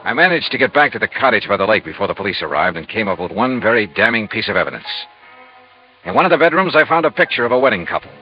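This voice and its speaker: A hard-boiled 1950s radio investigator, fast and clipped, with a lively swing in his pitch. He is dry in narration and insistent with questions, on a dull old recording. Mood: dry, intent. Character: brisk, hard-boiled male insurance investigator. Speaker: narrator and lead actor. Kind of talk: 1950s radio detective acting. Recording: dull vintage radio recording, music behind.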